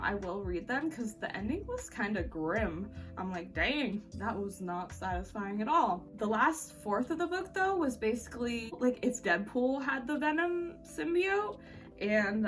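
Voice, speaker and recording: A woman giving a casual, chatty book review in an informal, slangy, opinionated way. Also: a little high. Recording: slightly distant mic, faint music behind.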